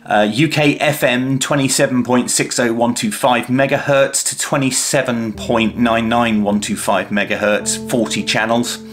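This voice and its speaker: A man presenting an unscripted product demonstration and review in a measured, hesitant way, often pausing mid-phrase. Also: mid-range voice, fairly even intonation, faint music behind.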